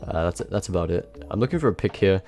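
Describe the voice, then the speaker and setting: A man with a blocked nose and a slightly nasal voice, giving relaxed, self-mocking voice-over commentary on his own match. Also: low-pitched, fast talker. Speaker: gameplay commentator. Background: faint background music.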